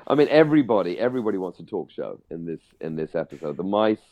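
Low male voice, English accent, middle-aged, chatty and informal, hesitant podcast discussion, dull lo-fi recording. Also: very animated, fast talker, dry recording. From England. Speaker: podcast co-host. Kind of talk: casual discussion of a radio drama.

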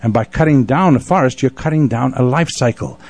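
A man's voice: medium pitch, fairly quick, darkish tone. A man with a mid-range voice hosts a casual radio interview. He talks in a jovial, opinionated way, as in an easy conversation. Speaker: radio show host.

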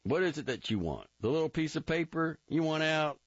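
A man voicing a gruff, impatient army captain with a loud, bullying, sarcastic manner. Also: on the high side, lively intonation, brisk, no echo, pitched above the performer's own voice. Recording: dull dry recording.

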